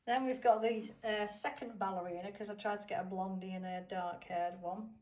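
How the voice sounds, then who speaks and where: A woman's voice: medium pitch, fairly even intonation, normal speed, darkish tone, far from the mic. A woman chatting casually as a vlog host, often tagging questions onto what she says. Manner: chatty, seeking agreement with tag questions. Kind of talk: casual chat to camera.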